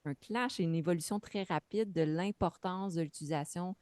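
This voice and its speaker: A woman explains her field as a practitioner on a webinar panel, with many fillers along the way. Her voice sits low, and the sound is dry, close and free of room echo. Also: normal speed, darkish tone. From Quebec. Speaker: mental health practitioner on a panel. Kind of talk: webinar talk.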